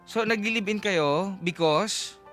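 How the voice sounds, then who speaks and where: A man's voice: high voice, takes it slowly, a bit bright. A man hosting a radio advice show, giving conversational counsel and questions with English phrases mixed in. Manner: mixes English into his talk. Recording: music clearly playing behind the voice. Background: background music.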